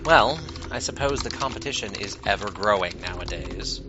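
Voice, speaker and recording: Man playing a lively-toned, low, wry conspirator. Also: dull audio, background noise, natural voice. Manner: measured, needling. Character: smooth, wry, quietly menacing court conspirator. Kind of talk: scripted audio drama acting. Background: music, keyboard typing.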